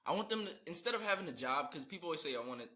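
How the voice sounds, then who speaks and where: An eighteen-year-old podcast host chatting with a friend, talking fast and jumping from thought to thought. His voice is bright and sharp, and his excitable, jokey manner keeps the conversation loose. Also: a little high. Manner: excitable, jokey, quick to laugh. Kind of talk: casual chat.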